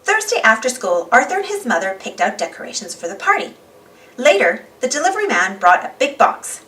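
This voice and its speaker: A woman reading a children's story aloud as an animated narrator. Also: on the high side, fairly quick, distant mic. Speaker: storybook reader.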